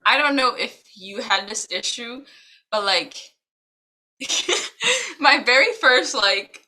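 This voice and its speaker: A young woman from Macau co-hosts a casual podcast, rambling through her stories and giggling often. Her voice is bright and forward, with a crisp edge. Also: on the high side, takes it slowly. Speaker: podcast co-host. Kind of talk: casual chat.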